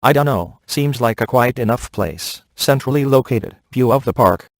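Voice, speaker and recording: A man acting a cocky, laid-back ghost hunter in a video game, sardonic and offhand with deadpan sarcasm. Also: mid-range voice, normal speed, lightly acted. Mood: dry, wisecracking.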